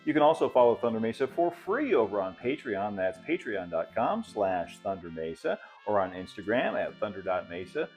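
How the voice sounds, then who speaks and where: A man's voice: fairly low pitch, very animated, moderate pace, few pauses, darkish tone, soft background music. A man in his fifties hosting a hands-on workshop tutorial in a casual, folksy, easygoing way, explaining things step by step. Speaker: host of a model railway channel. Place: indoor hobby workshop.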